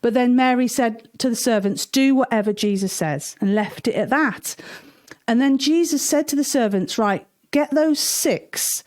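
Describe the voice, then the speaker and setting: A woman's voice: fairly high pitch, normal speed, darkish tone. A woman hosting children's Bible story time in an informal, conversational, exclamatory way. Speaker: host, storyteller.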